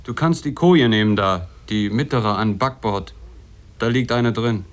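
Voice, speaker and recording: An actor in a radio play voicing a small, plain-spoken sailor who is teasing and probing but helpful. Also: male voice, on the low side, a little slow, close to an everyday voice. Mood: curious, matter-of-fact.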